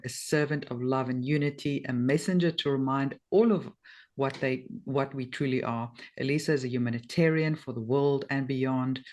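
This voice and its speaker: A woman's voice: a bass voice, darkish tone. South african accent, woman, podcast host, hesitant, filler-heavy casual chat.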